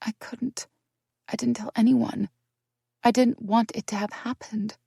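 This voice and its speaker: A woman's voice: fairly high pitch, fairly even intonation, a little slow, creaky, warm tone, breathy edge, no echo, clean studio sound, voice pushed up, heavily acted. A woman reading an audiobook voices a distraught young woman confessing a painful secret, her speech pleading and breaking into tears.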